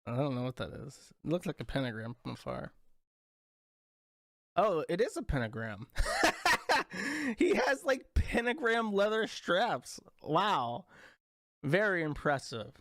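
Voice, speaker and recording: A man's voice: on the high side, sing-song, a little slow, long pauses, fairly bright tone, no room sound, studio quality. A young man hosting a casual livestream, rambling, crude and sarcastic as he talks to chat. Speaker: livestream host.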